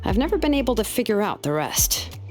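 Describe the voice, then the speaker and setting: A woman's voice: medium pitch, very animated, brisk, over music, close to an everyday voice. A woman playing a laid-back, thoughtful young female artisan in a video game, explaining things matter-of-factly. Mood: candid, weary. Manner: explains at length, matter-of-fact. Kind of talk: video game character dialogue.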